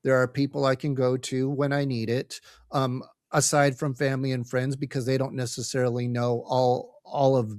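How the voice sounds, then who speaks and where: Halting, earnest man in a dry-sounding recording. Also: medium pitch, dry recording. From United States. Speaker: podcast guest, family caregiver. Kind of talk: personal interview talk, earnest.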